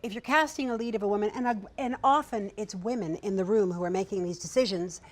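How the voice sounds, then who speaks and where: Energetic woman with a young-sounding voice. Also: medium pitch, brisk. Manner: energetic, irreverent storyteller, laughs often. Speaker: panel guest, writer and producer. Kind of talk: casual panel discussion.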